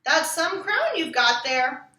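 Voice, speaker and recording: A woman voicing a calm, gently teasing storybook father with a measured, matter-of-fact way of talking. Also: sits high, full character voice. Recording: roomy echo, distant mic.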